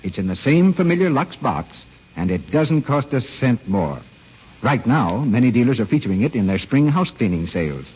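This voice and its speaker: Male host, highly varied intonation, vintage radio announcing, polished, muffled broadcast sound. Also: sing-song, normal speed, darkish tone, slightly rough. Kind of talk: 1930s radio scripted announcing. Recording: old muffled radio broadcast, faint music.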